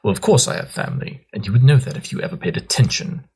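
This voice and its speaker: A man's voice: medium pitch, lively intonation, quick pace, a little mellow, breathy edge. A man playing a brilliant, irritable pony detective in an audio drama, pompous, clipped and sardonic. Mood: irritated sarcasm. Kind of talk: scripted audio drama acting.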